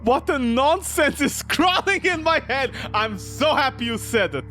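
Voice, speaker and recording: An exasperated game streamer reads story text aloud at an unhurried pace, then reacts with theatrical disbelief. The pitch swings widely. Music plays under the voice. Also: animated, fairly bright tone. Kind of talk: gaming commentary, reading visual novel text aloud and reacting.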